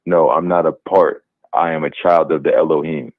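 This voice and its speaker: A man's voice: very deep voice, fairly even intonation, normal speed, no echo. A man calling in to a debate show, arguing firmly and talking over the host. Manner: insistent, talks over the host. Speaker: caller. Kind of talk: call-in debate. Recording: tinny phone line.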